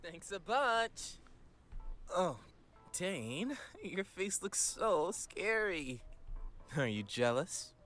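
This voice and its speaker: A man's voice: sits high, sing-song, drawn-out words, pauses often, fairly bright tone, exaggerated voice. An actor playing a vibrant, teasing young male band vocalist in a visual novel game, drawing out his words playfully. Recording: music behind the voice.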